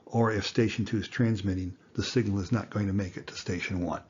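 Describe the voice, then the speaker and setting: A man giving an informal explanatory lesson as an instructor, speaking deliberately and emphatically with rhetorical questions. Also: fairly low pitch, fairly even intonation, breathy edge, dull audio. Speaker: instructor, amateur radio teacher.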